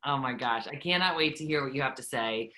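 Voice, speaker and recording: Bright, enthusiastic low-voiced woman host. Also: a little fast. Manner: enthusiastic, effusive. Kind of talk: casual interview.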